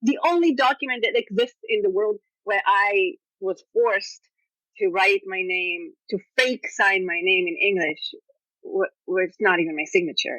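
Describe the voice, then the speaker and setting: Guest author, woman, Israeli accent, native Hebrew speaker; lively storyteller, bright tone, dry close mic. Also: medium pitch, no room sound, low-quality recording. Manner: animated storytelling with frequent "you know" and laughs. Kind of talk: casual podcast interview.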